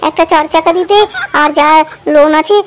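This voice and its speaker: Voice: sits high, fairly quick, a little hiss or hum, close to an everyday voice. A performer voicing a crafty, demanding village housewife in a comedy cartoon, wheedling and self-righteous. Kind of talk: amateur comedy cartoon dub.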